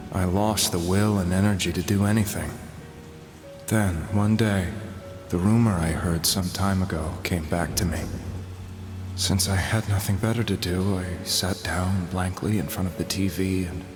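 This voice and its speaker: Music plays behind a low-pitched, haunted man. He is a despondent young adult weighed down by guilt, confessing his story gravely and haltingly. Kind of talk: dramatic video game voice acting, confessional monologue. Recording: background music under the voice.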